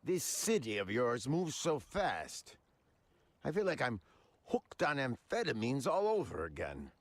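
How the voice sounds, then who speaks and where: Creepy polite mob boss for a game, drawling slowly, swoopy pitch, close dry sound. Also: male voice, huge pitch swings, no room sound. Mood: playful menace. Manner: leisurely, insinuating, mock-polite. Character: smooth-talking, menacing, eccentric male crime boss. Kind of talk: video game dramatic acting.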